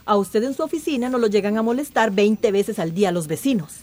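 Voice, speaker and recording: Actress as a worried mayor, animated voice. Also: female voice, medium pitch, fairly quick, lightly acted. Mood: defensive, worried. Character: defensive, worried female small-town mayor. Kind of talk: radio drama acting.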